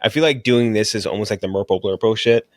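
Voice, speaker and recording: Man, brisk pace, dry close sound, casual podcast co-host, slangy, profane, film and TV chat. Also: on the low side, dry recording.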